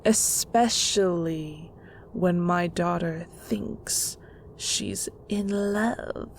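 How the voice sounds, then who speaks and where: Woman playing stern manipulative mother, audio drama, slow deliberate delivery, fry-edged hushed voice, condescending. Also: fairly low pitch, a little hiss or hum. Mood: interrogating, condescending. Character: stern, manipulative mother of a secret magical family. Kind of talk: scripted audio roleplay drama.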